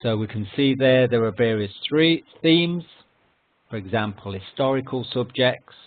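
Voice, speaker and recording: A man with a mid-pitched voice delivers a scripted history lecture. His phrasing is formal and measured. The audio is narrow and tinny, like a phone or online call. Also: animated, dry recording. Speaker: editorial consultant giving a lecture.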